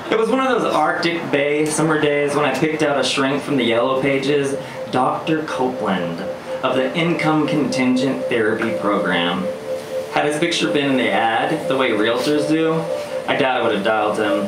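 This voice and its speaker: Wry spoken-word man, distant over clear music. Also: mid-range voice. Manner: wry, theatrical, articulate. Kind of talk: live spoken word storytelling. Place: live performance venue. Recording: roomy live venue, distant mic, music. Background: electronic beats and music.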